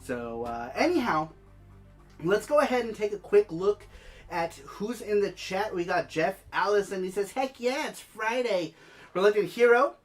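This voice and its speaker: A gaming livestream host speaks casually at a middling pitch, with a nose stuffed up from a head cold. The delivery is chatty, moving between explanation and calling out viewer comments. Music plays behind the voice. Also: lively intonation, moderate pace. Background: background music.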